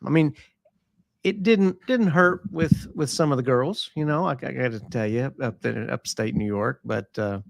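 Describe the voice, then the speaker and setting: A man from East Texas with a Southern accent, chatting casually as a guest in a folksy, halting way. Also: medium pitch, vocal fry, no echo. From East Texas, born in Tennessee. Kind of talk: casual chat.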